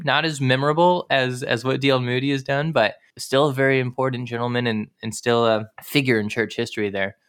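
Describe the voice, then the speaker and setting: A man's voice: mid-range voice, fairly bright tone, clean tone. A man co-hosting a podcast in casual chat, rambling and rephrasing as he goes. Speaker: podcast co-host.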